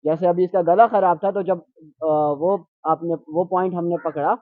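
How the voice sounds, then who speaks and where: A man's voice: sits high, steady tone, moderate pace, no echo. A man summarizing a class demonstration for students in plain explanatory speech. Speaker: co-instructor summarizing. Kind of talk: classroom recap. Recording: tinny phone-like sound.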